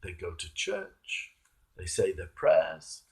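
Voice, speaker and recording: A man, a clergyman, reading a devotional sermon in a measured, emphatic manner with rhetorical turns. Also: deep voice, big pitch swings, gravelly. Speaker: Anglican clergyman reading a reflection. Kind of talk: devotional sermon, read aloud.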